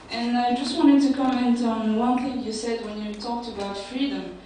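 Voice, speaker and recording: A woman's voice: fairly high pitch, normal speed, dark tone. A young woman from Morocco, a student making a thoughtful comment during a lecture's question time, hesitant with fillers. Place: lecture hall. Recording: echoey hall from far off, noisy.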